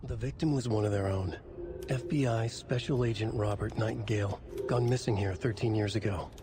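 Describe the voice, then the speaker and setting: A brooding male storyteller, voiced by an actor narrates a noir game thriller in a low voice. He is deliberate and ominous, pausing for dramatic weight over clear background noise. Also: close to an everyday voice.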